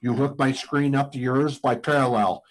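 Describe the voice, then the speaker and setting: A man's voice: mid-range voice, fairly even intonation, moderate pace, close to an everyday voice, rough recording. A man playing a desperate, threatening pilot in a radio drama. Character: desperate male pilot threatening to blast the base. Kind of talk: live radio drama acting.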